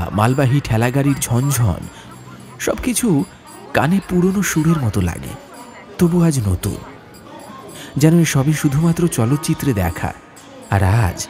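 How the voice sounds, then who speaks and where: A man narrating a scripted horror story in a literary, expressive, dramatic way. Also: medium pitch. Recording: music under the voice. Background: background music.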